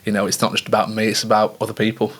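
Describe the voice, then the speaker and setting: Low-voiced male podcast host. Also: completely flat delivery, rapid-fire, fairly bright tone. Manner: encouraging, affirming interviewer. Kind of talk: casual interview chat.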